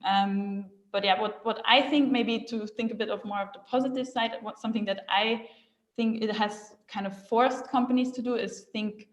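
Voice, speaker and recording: A woman's voice: a little high, fairly even intonation, moderate pace. Chatty Austrian-raised woman in an echoey room. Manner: chatty, laughs often, many fillers. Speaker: guest speaker. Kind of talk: casual chat.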